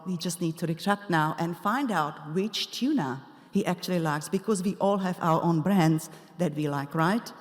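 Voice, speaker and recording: A woman with an Eastern European accent giving a prepared conference presentation as a health coach, explaining things haltingly with pauses and asides. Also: fairly low pitch. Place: large indoor conference hall. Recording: echoey hall with long reverb.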